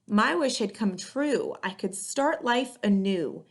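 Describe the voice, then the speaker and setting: A woman hosting a children's read-aloud, speaking in clearly phrased storytelling style. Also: mid-range voice, animated, takes it slowly. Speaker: museum educator and read-aloud host. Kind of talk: reading a children's picture book aloud.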